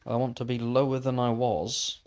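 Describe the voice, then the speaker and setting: A man's voice: medium pitch, little pitch movement, normal speed, a little mellow. Man musing aloud while gaming. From Wales. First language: Welsh. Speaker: gaming livestreamer. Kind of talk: casual chat while playing.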